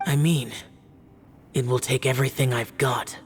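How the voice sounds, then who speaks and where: An actor playing a stoic young immortal warrior in a video game, solemn, terse and resolute. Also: male voice, medium pitch, steady tone, moderate pace, faint music behind, natural voice. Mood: grim resolve. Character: stoic young male immortal warrior, grim and self-sacrificing.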